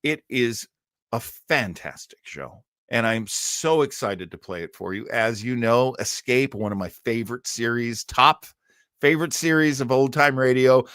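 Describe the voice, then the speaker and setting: A man hosting a livestream, chatting casually to viewers in a halting, enthusiastic way. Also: mid-range voice, a bit bright, dry recording, studio quality. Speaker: livestream host. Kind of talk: casual live chat to viewers.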